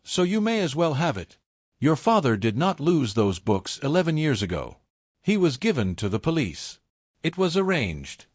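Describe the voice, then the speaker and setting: A man reading as a middle-aged mafia lawyer in an audio story, a resigned and bitter traitor. He talks quietly and deliberately, with expressive pitch and a dry sound. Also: dry recording. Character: tidy fifty-five-year-old male mafia lawyer, resigned, bitter traitor.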